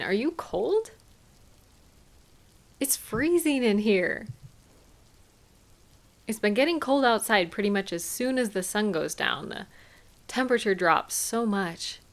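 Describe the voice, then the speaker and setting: A woman playing a caring, gently chiding partner in an intimate audio roleplay, speaking soothingly to a sweetheart. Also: mid-range voice. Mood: concerned, puzzled. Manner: tender, soothing, gently questioning and unhurried. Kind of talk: intimate scripted audio roleplay addressed to the listener.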